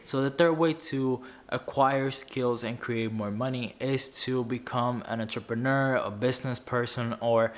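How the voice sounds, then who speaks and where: A man's voice: mid-range voice, steady tone, measured pace, few pauses. A young man whose first language is Spanish, giving earnest money advice to camera, often tripping over words and restarting. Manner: earnest, stumbling with many restarts. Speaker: YouTuber. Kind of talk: unscripted finance advice to camera.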